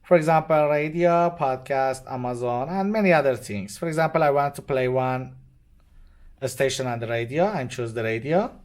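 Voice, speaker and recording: A man with a medium-pitched voice demonstrates a product hands-on. He is a non-native English speaker and explains step by step, unscripted. His phrases are short and simple, with a halting flow. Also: a little mellow. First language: not English. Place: indoors at a demo bench with devices.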